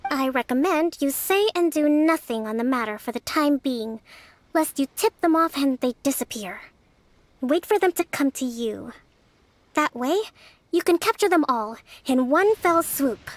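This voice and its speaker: A woman playing a shrewd, composed young leader in a video game, speaking in a polite, measured and formal way. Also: sits high, dry recording.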